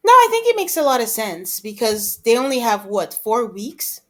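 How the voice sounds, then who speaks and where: A woman's voice: fairly high pitch, big pitch swings, moderate pace, a bit bright. A woman co-hosting a podcast, chatting casually about reality TV and acting out imagined quotes as she talks. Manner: conversational, acts out imagined quotes. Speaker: podcast co-host.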